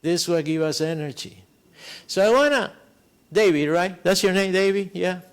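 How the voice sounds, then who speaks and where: A man with a non-native English accent moderating a panel, rambling and animated, turning to the audience with questions. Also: sits high, moderate pace, clean tone. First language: not English. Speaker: moderator, professor.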